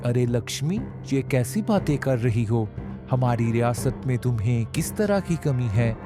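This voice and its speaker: Cartoon husband, male actor: earnest, reasoning, reproving, mid-pitched, over a music bed. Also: animated, measured pace, close to an everyday voice. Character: earnest husband, admonishing and sensible. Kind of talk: Hindi cartoon story acting. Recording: music behind the voice.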